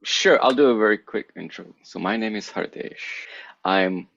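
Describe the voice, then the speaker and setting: A man in his thirties from Singapore, co-hosting a casual podcast chat, playful and quick to laugh as he asks questions. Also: lowish voice, gravelly, fairly bright tone, dry recording, dull audio. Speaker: podcast co-host.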